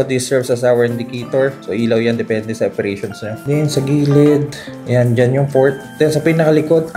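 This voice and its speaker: A man's voice: mid-range voice, dark tone. A man with a Filipino accent giving a casual, conversational product walkthrough as a reviewer, explaining things and mixing in English phrases. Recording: music behind the voice.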